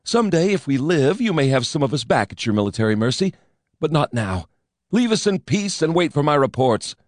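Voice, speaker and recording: A man voicing a sick, defiant male musician who shouts back in a cracked, hoarse voice. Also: fairly high pitch, sing-song, fairly quick, no echo, studio quality, pitched above the performer's own voice.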